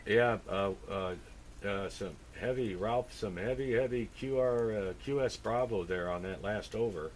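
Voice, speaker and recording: A man chatting casually as an amateur radio operator, halting and thinking aloud as he goes. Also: on the low side, slight background noise. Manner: halting, thinking aloud, spells with phonetic alphabet. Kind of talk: casual two-way radio chat.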